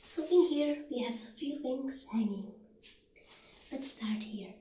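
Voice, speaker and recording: A woman speaking softly and breathily, often near a whisper, gently playing a friendly witch shopkeeper in an ASMR roleplay. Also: high voice. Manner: gentle, unhurried, halting. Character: friendly witch shopkeeper showing potion ingredients. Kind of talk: soft-spoken ASMR roleplay. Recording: roomy echo, faint background noise.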